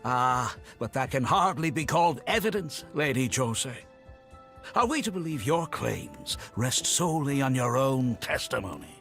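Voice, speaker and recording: A man's voice: medium pitch, fairly bright tone. A man voicing a smug, scheming official in a video game, pompous and oily in his mock politeness. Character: smug, slippery scheming official feigning innocence. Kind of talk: scripted video game cutscene acting.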